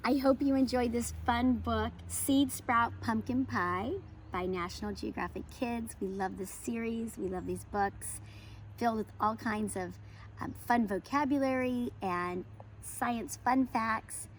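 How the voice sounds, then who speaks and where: An American woman hosting a homeschool lesson and children's read-aloud, with expressive, emphatic storybook phrasing. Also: a little high, measured pace, a little hiss or hum, poor audio. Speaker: homeschool educator and channel host.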